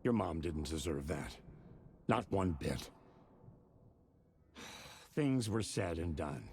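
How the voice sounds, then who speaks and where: An actor playing a gruff, foul-mouthed old grandfather full of regret and defiance in a video game, speaking bluntly and with weight. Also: low voice, animated, slow pace, a little mellow, a little hiss or hum, exaggerated voice. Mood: remorseful. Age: older. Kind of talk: video game acting, dramatic.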